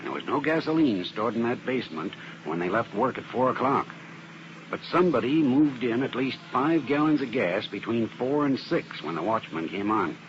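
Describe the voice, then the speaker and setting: A man's voice: medium pitch, expressive, normal speed, lightly acted. An American actor playing an earnest, methodical male arson specialist in a 1950s radio drama, explaining things with plain-spoken assurance. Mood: assured explaining. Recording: dull old radio recording with heavy noise.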